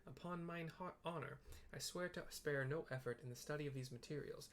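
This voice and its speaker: A man's voice: a little high, fairly quick, a bit bright, slight background noise. A man voicing a solemn male scholar in a fantasy game who talks in ornate, old-fashioned language. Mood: grave, solemn. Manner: grave, archaic, ornate wording.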